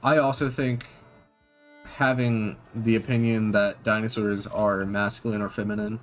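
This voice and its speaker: A man giving casual reaction commentary, halting with ums and restarts, blunt and profane. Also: fairly low pitch, level tone, faint music behind. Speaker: reaction channel host.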